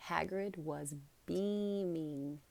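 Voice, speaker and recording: A woman's voice: lowish voice, extremely slow, gravelly, a little mellow. A woman narrating a children's novel as a read-aloud. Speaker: storybook narrator.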